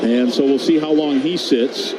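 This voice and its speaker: A man's voice: medium pitch, fairly even intonation, normal speed. An American man calling live basketball play-by-play on radio in short, clipped phrases, describing the action as it happens. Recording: very noisy arena background. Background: arena crowd and game ambience.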